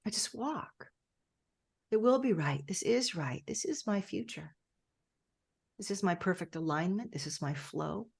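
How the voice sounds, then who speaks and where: Soft-spoken woman guiding a meditation. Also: on the low side, expressive, normal speed, vocal fry, breathy edge. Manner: soft, gentle, drifting delivery with whispered phrases. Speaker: meditation guide. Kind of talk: guided meditation.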